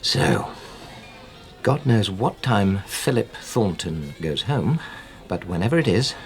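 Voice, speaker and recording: A man's voice: on the low side, sing-song, slow pace, soft background music, exaggerated voice. An actor playing a determined, politely guarded middle-aged man in a naturalistic radio drama, speaking in an understated, hesitant way. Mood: measured, determined.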